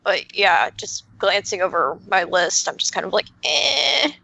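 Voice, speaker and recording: A woman's voice: mid-range voice, a little slow, gravelly, no echo, rough recording. A woman on a podcast panel chatting very informally, often acting out her own reactions and peppering her talk with "like". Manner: informal, animated self-quoting, frequent "like". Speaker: podcast panelist, game creator. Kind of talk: casual chat.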